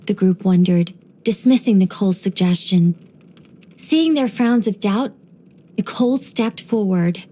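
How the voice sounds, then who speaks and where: A woman voicing an ancient angel in a video game, dignified yet whimsical and chatty, with a measured, gently playful delivery. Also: on the high side. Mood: theatrical storytelling. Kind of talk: video game character voice lines.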